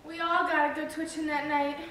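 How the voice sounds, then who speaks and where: A woman's voice: high-pitched, level tone, a little fast, sharp tone. A woman on stage playing a frightened young townsperson in a readers theater drama. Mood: uneasy, haunted. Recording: very echoey hall, distant, faint noise.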